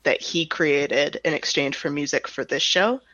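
A woman speaking as an interviewed director, explaining her creative work in a thoughtful, reflective way with softened statements. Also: low-pitched, unhurried, dry recording, highs cut off. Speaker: audio drama director and writer being interviewed.

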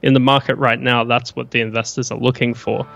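A man in his thirties with an Australian accent mixed with a bit of New Zealand, explaining business calmly as a podcast guest. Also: mid-range voice, fairly quick, gravelly, muffled recording. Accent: Australian with some New Zealand. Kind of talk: casual podcast interview.

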